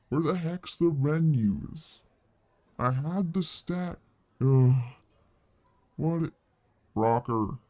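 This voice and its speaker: A man's voice: mid-range voice, gravelly, mellow, no room sound, full character voice. A man playing a friendly, easygoing fantasy tavern bartender who chats casually and folksily to the listener in an ASMR roleplay. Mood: exasperated, calling out. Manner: laid-back, hesitant, folksy, chatty.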